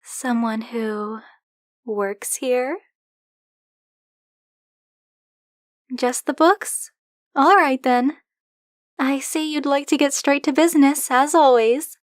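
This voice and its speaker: A woman's voice: sits high, dry recording, clean studio sound. A woman playing a flirty, possessive dragon-blooded librarian in an ASMR roleplay, speaking to the listener in a teasing, coy, insistent manner. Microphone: close, dry microphone.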